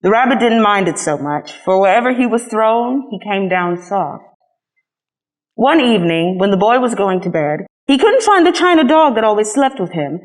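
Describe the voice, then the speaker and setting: A woman's voice: mid-range voice, big pitch swings, moderate pace, low-quality recording. A woman reading a children's story aloud as a scripted narration. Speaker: storybook reader.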